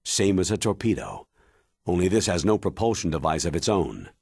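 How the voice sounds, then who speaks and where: Authoritative army officer, rough-edged voice, expressive swings, commanding, measured, weighty, video game briefing. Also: male voice, low-pitched, dry recording, clean studio sound, heavily acted. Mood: instructive. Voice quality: husky, weighty. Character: stern, authoritative older military commander giving mission briefing. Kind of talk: dramatic video game cutscene acting.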